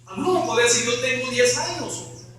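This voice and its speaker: Bright-voiced pastor preaching. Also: male voice, very high-pitched, brisk, heavy reverb, far from the mic, a little hiss or hum. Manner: preaching, rising to emphatic questions. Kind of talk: evangelical sermon.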